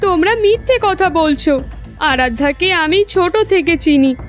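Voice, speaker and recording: A woman's voice: very high-pitched, animated, unhurried, faint music behind. A woman voicing a kindly village aunt who pleads and worries in a cartoon drama. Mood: pleading.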